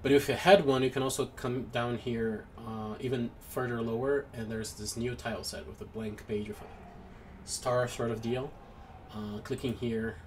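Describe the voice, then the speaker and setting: A young Brazilian-accented man talks through a software tutorial from his home setup, pausing often with ums and restarting his sentences. His voice sits at a middling pitch for a man. Faint household noise sits low behind his voice. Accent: Brazilian Portuguese. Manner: hesitant, many ums and restarts, apologetic. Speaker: tutorial maker. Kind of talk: screen-recorded software tutorial. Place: home room with noisy neighbors.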